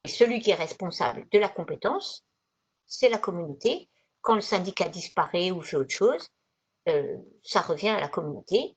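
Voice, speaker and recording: A woman's voice: medium pitch, breathy edge. Woman trainer on a dull video call. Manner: didactic, conversational, rephrases as she goes. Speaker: trainer presenting an online training session. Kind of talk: informal slide presentation, explanatory. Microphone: video conference microphone.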